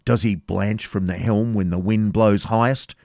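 A man's voice: lowish voice, fairly even intonation, normal speed, vocal fry, a little mellow, dry recording, studio quality. A man playing a fierce, chivalrous wounded knight in an audiobook, making impatient demands in archaic exclamations. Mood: stern, impatient. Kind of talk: dramatic audiobook character reading.

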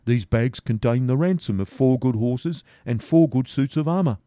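A man's voice: medium pitch, lively intonation, moderate pace, warm tone, no echo, clean studio sound, voice pushed up, close to an everyday voice. A man voicing a surly, honest servant who answers doggedly. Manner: dogged, grumbling.

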